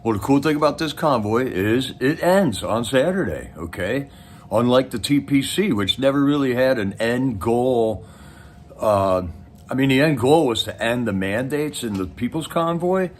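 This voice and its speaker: A man's voice: mid-range voice, a little hiss or hum. A man hosting a livestream with casual, rambling, folksy commentary, speaking straight to his viewers. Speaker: livestream host.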